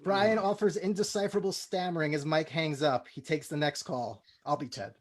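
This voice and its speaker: A man's voice: a little high, moderate pace. A man hosting a comedy table read, switching between casual chat and scripted stage-direction narration.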